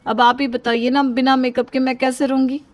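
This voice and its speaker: A woman's voice: high-pitched, level tone, brisk, a little mellow, no room sound, lightly acted. A woman voicing a vain, fussy younger woman who protests in a cartoon story. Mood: complaining.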